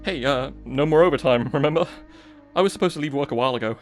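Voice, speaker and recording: A man's voice: medium pitch, quick pace, faint music behind, lightly acted. A man playing a nervous, put-upon junior police colleague in an audio drama. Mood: reluctant.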